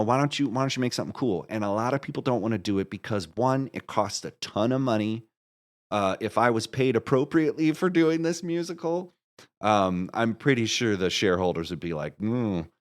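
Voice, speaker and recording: A man with a fairly low voice talks as a guest in a casual interview. He rambles and halts, often breaking off and starting his phrases again. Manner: rambling, halting, self-interrupting. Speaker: interview guest, marketer and musical creator.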